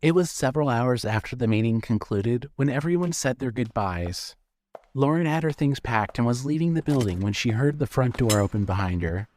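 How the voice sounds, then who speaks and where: A man's voice: mid-range voice, animated, moderate pace, a little mellow. A man narrating a scripted fiction story in the third person. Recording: clearly noisy background.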